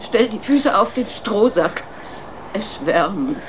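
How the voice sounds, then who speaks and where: A woman's voice: medium pitch, lively intonation, slow pace, slight background noise. A woman playing a matter-of-fact queen and mother in a children's radio play, speaking with dry deliberation.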